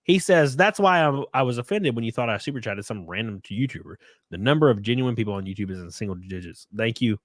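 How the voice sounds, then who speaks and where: A man's voice: medium pitch, lively intonation, fast, no room sound. A man hosting a livestream, thanking donors in a rambling, profane way, sniffly and a bit tipsy. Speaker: streamer host. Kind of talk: casual livestream chat, reading donations.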